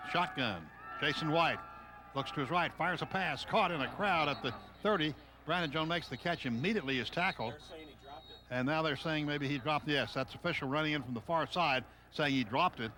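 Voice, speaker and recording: A man with a somewhat high voice calls a live football game for radio. His delivery comes in short, clipped phrases that follow the action. Clear stadium noise sits behind him throughout the broadcast. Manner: clipped running description, excitable on big plays. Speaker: radio play-by-play announcer.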